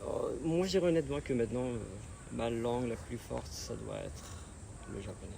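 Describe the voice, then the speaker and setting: A man in his twenties from Chile whose first language is Spanish, chatting as an interview guest, hesitant and relaxed with many fillers, recorded on a phone. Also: medium pitch, fairly quick, vocal fry. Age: 20s. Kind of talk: casual interview chat. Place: Japan. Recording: phone recording, background noise.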